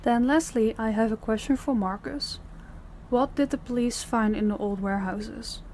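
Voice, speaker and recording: A woman acting as a composed, authoritative female crime boss in an audio roleplay, speaking in a measured way. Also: fairly high pitch, a little mellow, slight background noise, lightly acted. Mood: businesslike, commanding.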